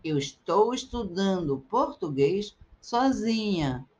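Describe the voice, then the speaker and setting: A middle-aged woman teaching Portuguese, repeating short phrases slowly and with careful articulation for learners. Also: mid-range voice, sing-song, a little mellow. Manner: slow, carefully articulated repetition. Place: indoors, webcam in a circle overlay.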